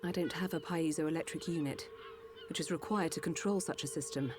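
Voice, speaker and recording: An actress playing a calm, precise female Machina doctor explaining a treatment. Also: low-pitched, brisk, background noise, lightly acted. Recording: game cutscene with music.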